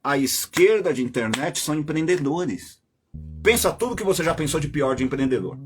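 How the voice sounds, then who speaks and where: A Brazilian man hosting a podcast, delivering an opinionated monologue straight to his listeners and jumping into high mocking voices when he quotes people. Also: lively intonation, moderate pace, faint music behind. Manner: addresses listeners directly as "my dear". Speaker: podcast host.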